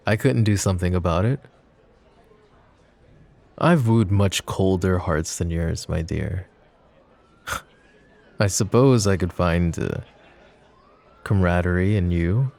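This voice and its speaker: A man playing a flirty, possessive mafia boss in a roleplay ASMR drama, smooth-talking, teasing and protective. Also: sits low, darkish tone, heavily acted. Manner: smooth-talking, teasing, pet names, sighs.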